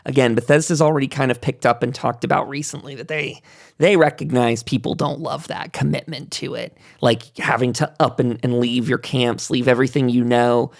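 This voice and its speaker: A man's voice: medium pitch, vocal fry, no echo, studio quality. A man hosting a casual gaming roundtable, talking in a rambling way and often interrupting himself. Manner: rambling, self-interrupting, hedging aloud. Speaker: podcast host moderating a roundtable. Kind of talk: casual panel discussion about gaming.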